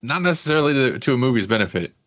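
A man's voice: medium pitch, expressive, rapid-fire, a bit bright, dry recording, studio quality. A man hosting a casual pop culture talk show in a wry, conversational way. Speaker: podcast host.

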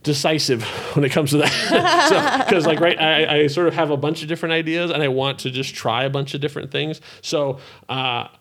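A man co-hosting a podcast in casual, unscripted chat, rambling and halting, with a self-deprecating manner. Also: on the high side, animated, fairly bright tone. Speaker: podcast co-host and show creator.

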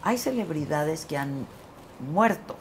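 A woman's voice: low voice, animated, slow pace, slight background noise. A woman hosting a talk show, asking questions and teasing her guest. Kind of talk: conversational interviewing.

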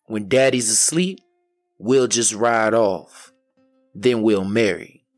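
A bold, magical daughter of the devil in a folk tale, played by a male storyteller. She speaks decisively, like casting spells, in slow measured phrases over faint music. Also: fairly low pitch. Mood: scheming, assured. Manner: incantatory, decisive.

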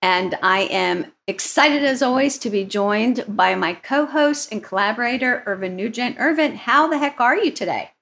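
A woman's voice: fairly high pitch, animated, moderate pace, gravelly, few pauses, a bit bright. A woman co-hosting a leadership podcast in an upbeat, conversational way, often checking in with "right?". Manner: upbeat, asks questions, frequent "right?" tags. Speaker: podcast co-host.

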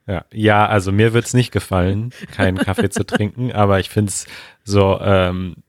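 An easygoing man co-hosting a podcast, with a low-pitched voice. He teases his partner and hesitates now and then as he picks his words. The sound is close and dry. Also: steady tone, moderate pace, no echo. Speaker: podcast co-host. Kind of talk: casual chat.

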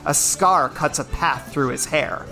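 A man's voice: on the high side, unhurried, vocal fry, pushed and bright. A man narrating a scripted drama, reading out dramatic scene descriptions in the present tense. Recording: music bed behind narration.

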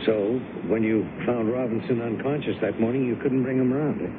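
An American actor playing an earnest, inquisitive author with a strong moral streak in a radio drama, speaking emphatically and probingly. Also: male voice, mid-range voice, fairly even intonation, close to an everyday voice. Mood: astonished. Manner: emphatic, probing, halting when upset. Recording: noisy, narrow-band old radio broadcast.

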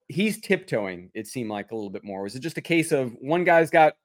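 A man's voice: mid-range voice, sing-song, brisk. Conversational male podcast co-host. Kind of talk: conversational sports discussion, posing questions.

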